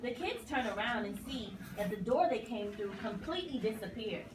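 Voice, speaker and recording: A woman's voice: on the high side, expressive, moderate pace. A woman narrating a scripted children's puppet story. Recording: roomy, distant mic, clear noise.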